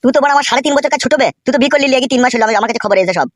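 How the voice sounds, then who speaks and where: Male performer as young cartoon villager, high voice, very rapid, indignant, foul-mouthed, emphatic. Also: no room sound, studio quality. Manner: crude, complaining, emphatic. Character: aggrieved foul-mouthed young village man desperate to marry. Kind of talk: comic Bengali cartoon dialogue.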